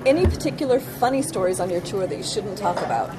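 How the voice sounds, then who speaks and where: A woman's voice: mid-range voice, animated, fast, low-quality recording. A woman interviewing a musician in a playful, teasing way. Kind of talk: casual interview questions. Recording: crowd noise behind. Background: loud crowd and music.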